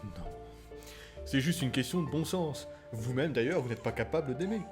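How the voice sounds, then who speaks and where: A man's voice: medium pitch, expressive, normal speed, natural voice. A man voicing a pompous, vulgar middle-aged husband in a comedy dub, smug and easily flustered. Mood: exasperated. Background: music.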